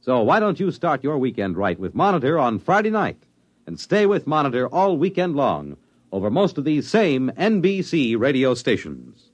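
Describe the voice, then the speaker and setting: A man's voice: medium pitch, big pitch swings, moderate pace. A man announcing on a 1950s network radio program in a formal, emphatic delivery. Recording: old radio broadcast with music.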